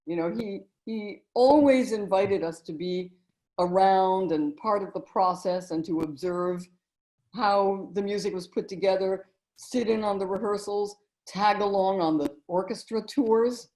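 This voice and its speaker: A middle-aged woman talking in a relaxed interview, telling stories and memories as the guest. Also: mid-range voice, measured pace, low-quality recording. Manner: warm, storytelling reminiscence with frequent "you know". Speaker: guest, author and narrator.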